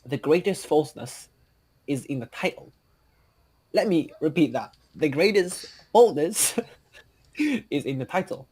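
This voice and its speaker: A solemn fantasy narrator, read by a man with a slightly high pitch. The delivery is earnest and declamatory, a storybook telling that halts here and there. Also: animated, measured pace, long pauses, darkish tone, breathy edge.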